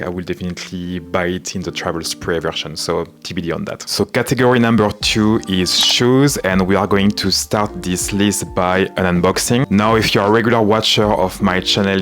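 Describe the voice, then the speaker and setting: A man with a French accent, talking calmly to camera in a casual vlog with frequent fillers. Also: low voice. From France. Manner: calm, measured, frequent "you know" fillers. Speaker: menswear and vintage YouTuber. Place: home in Southern California.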